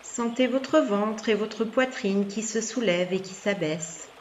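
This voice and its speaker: A woman yoga nidra instructor giving soft guided relaxation instructions in a calm, soothing, unhurried manner with long pauses. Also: mid-range voice, highs cut off, a little hiss or hum.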